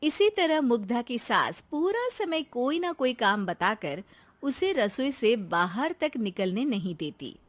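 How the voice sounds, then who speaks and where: Casting: female storyteller for scripted tales, high voice with very varied intonation. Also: sing-song, a little slow. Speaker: narrator.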